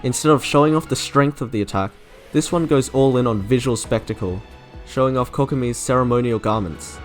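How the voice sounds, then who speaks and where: A man's voice: medium pitch, brisk. A young man reading scripted opinion commentary as a video essayist. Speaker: video essayist reviewing game trailers. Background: soft game music.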